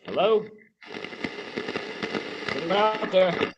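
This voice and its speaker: A man's voice: high voice, lively intonation, extremely slow, dull audio, heavy background noise, heavily acted. An American man playing a flustered, sheepish radio technician in a comedy radio play over a home video-call microphone. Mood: baffled, sheepish.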